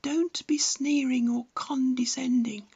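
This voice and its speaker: A woman's voice: high voice, fairly even intonation, takes it slowly, creaky, a little mellow, breathy edge, highs cut off. A woman reading scripted instructional narration in a blunt, didactic manner. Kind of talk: scripted narration, tutorial with opinionated advice.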